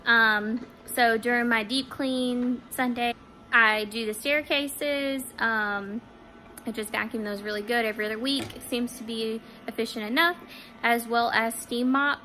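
A woman's voice: fairly high pitch, a little slow, a little hiss or hum, poor audio. A young woman vlogging from her living room couch, talking casually to a close phone camera with plenty of ums. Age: young adult. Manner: chatty, relaxed, frequent ums. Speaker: home cleaning vlogger. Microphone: phone camera held close.

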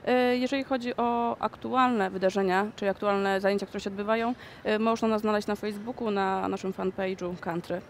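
A young woman, a dance choreographer, answering interview questions in a conversational way with frequent pauses, recorded in a shopping mall. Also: mid-range voice, steady tone, a little hiss or hum.

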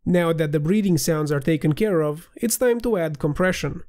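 Male tutorial narrator, a touch nasal, higher pitch, clear and steady. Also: normal speed. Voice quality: slightly nasal. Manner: clear, steady instructional delivery. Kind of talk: scripted screen-recording tutorial voiceover.

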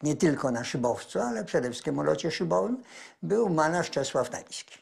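An older man answering interview questions slowly and hesitantly, pausing to find words, in an office. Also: a little high, sing-song, creaky. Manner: slow, hesitant, thoughtful. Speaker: interviewed expert. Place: indoor office.

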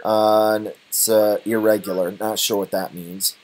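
Guy w a lowish voice walking thru software, kinda tentative, thinks out loud, low-key. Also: flat delivery, measured pace. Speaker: tutorial presenter. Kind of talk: unscripted software tutorial, thinking aloud.

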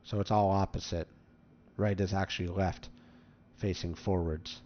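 A man with a fairly low voice walks through a game as tutorial host, casual and instructional. The recording is a little dull. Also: fairly even intonation, unhurried, slight background noise. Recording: slightly dull, muffled microphone.

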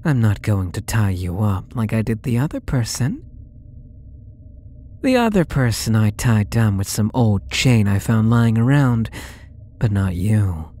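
Man acting a slow, mellow, obsessed young stalker. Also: fairly low pitch, expressive. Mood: tender, possessive. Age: young adult. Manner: slow, taunting, coaxing delivery. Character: possessive, obsessed young male stalker, sweetly menacing. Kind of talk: ASMR roleplay audio drama.

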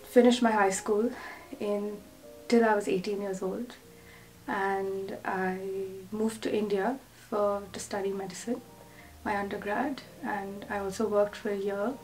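A woman in her twenties who grew up in Oman speaks slowly, in a halting, thoughtful way. She sounds a little distant from the mic, with faint music behind her. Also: medium pitch, steady tone, a little mellow. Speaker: interviewee. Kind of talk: reflective interview answers. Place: indoor room by a window.

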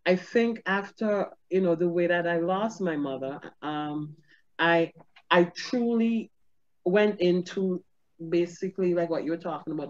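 A middle-aged woman, a comedian, telling stories in an animated interview over a webcam from her home, laughing often. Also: lowish voice. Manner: expressive, gestures a lot, laughs often. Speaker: comedian and guest. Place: home room with ceiling fan. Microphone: video call webcam. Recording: dull lo-fi video call audio.

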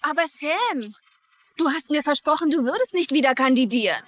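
High-voiced, pleading jilted fiancée. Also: female voice, animated, moderate pace, fairly bright tone. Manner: pleading, earnest. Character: hurt, earnest jilted fiancée pleading with her man. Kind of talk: dubbed TV drama dialogue in German.

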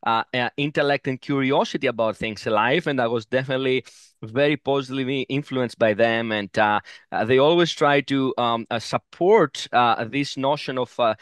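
Middle-aged man, reflective and halting, dry close headset sound. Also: medium pitch, fairly bright tone, clean tone, no echo. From Greece. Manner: thoughtful, many "uh" fillers, repeats words. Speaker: oncologist guest. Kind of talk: interview answers. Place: home room, video call.

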